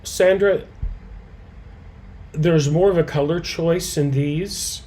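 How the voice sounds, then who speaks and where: A man teaching a live quilting and fabric-painting demonstration, slightly hoarse, chatting with viewers by name and muttering softly to himself as he works. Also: on the high side, slow. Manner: relaxed, talks softly to himself while he works; answers viewers' comments by name. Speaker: quilting educator.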